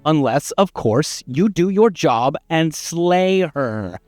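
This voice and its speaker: A man acts out an insistent, wordy narrator from a storybook game. The delivery is theatrical and exasperated, with big swings in pitch, recorded close and dry over faint music. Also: expressive, slow pace, darkish tone, no room sound. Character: pushy, exasperated, wordy storybook narrator urging a hero onward.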